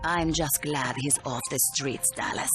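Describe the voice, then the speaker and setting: A woman's voice: sits low, fairly even intonation, unhurried. A woman playing a polished, smug sci-fi TV news co-anchor with a broadcast delivery. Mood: mock concern. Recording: music bed behind the voice.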